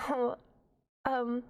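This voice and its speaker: A woman playing a shy, nerdy young half-dragon librarian in an ASMR roleplay, speaking straight to the listener in a flustered, halting, playfully huffy way. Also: on the high side. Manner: flustered, halting, self-correcting, playfully indignant. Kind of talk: ASMR roleplay, one-sided dialogue to the listener.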